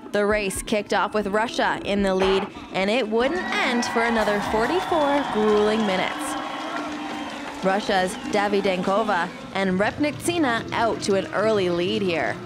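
A woman giving recap commentary as a voice-over on a cross-country ski race, in a brisk play-by-play style. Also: medium pitch, a crowd in the background, noisy background. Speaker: sports commentator.

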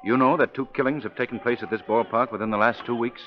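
An actor playing a suave, composed young gentleman sleuth in a 1930s radio drama, speaking in an articulate, measured way. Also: mid-range voice, fairly even intonation, lightly acted. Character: wealthy young man about town, composed sleuth. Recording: lo-fi 1930s radio, crowd behind.

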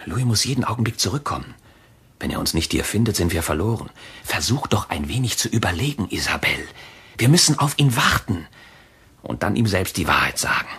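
A man's voice: low-pitched, big pitch swings, a little fast, a bit bright, slightly rough, full character voice. A man playing a nervous, cautious secret lover in a German radio thriller, reasoning urgently and hesitantly. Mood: urgent, pleading.

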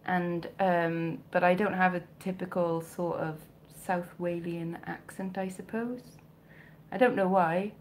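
A woman's voice: lowish voice, fairly even intonation, measured pace, a little mellow, slight background noise. Chatty woman with a mild South Welsh accent. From South Wales. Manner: chatty, self-mocking, jokey asides. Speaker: vintage clothing reseller, livestream host. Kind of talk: casual live stream chat reading comments. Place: home bedroom with shelves, indoors. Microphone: webcam or phone close up.

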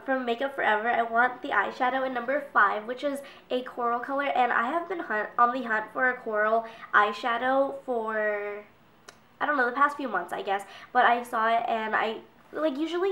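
A young woman with a cold sounds congested as she rambles on, dropping plenty of fillers. Her voice sits a little high. The camera mic hangs back from her, so the bedroom adds an echo. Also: pushed and bright, recorded from a distance. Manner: chatty, rambling with many fillers. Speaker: beauty vlogger. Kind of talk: casual talk to camera. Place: small bedroom indoors. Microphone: camera mic at a distance. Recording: roomy, from off the mic.

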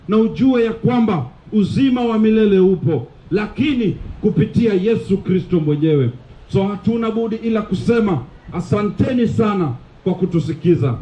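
A man's voice: sits high, normal speed, dark tone, lo-fi sound. A young Kenyan man preaching outdoors on a sandy beach street, declaiming with urgent repetition as a street preacher, picked up by a camera set at a distance. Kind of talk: open-air sermon mixing Swahili and English.

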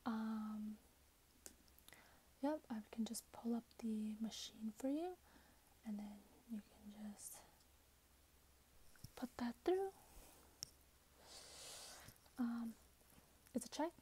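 A woman in her twenties speaking in a hushed, breathy whisper, gently role-playing a customer service clerk for ASMR. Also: fairly high pitch, extremely slow, pauses often, slight background noise. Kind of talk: soft-spoken ASMR role-play. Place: small plain indoor room.

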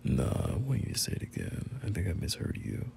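A young man playing a bashful, teasing friend turned boyfriend in an intimate roleplay audio, speaking in halting, murmured slang. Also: low voice, wildly swooping pitch, gravelly, warm tone, a little hiss or hum, exaggerated voice. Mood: stunned, unsure.

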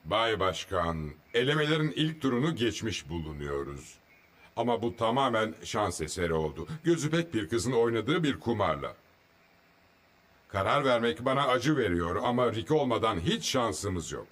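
A man voicing a stern, commanding racing team manager in an animated series dub, formal and authoritative. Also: lowish voice, moderate pace. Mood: grave, protesting.

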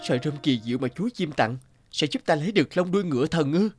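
Earnest young cartoon hero done by a guy, kinda high voice, dramatic, music under it. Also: lively intonation, quick pace, natural voice. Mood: anxious, determined. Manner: dramatic, talks to himself. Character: earnest young man hero, devoted son seeking a cure. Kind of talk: Vietnamese dubbed fairy-tale cartoon acting. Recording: music behind the voice. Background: background music.

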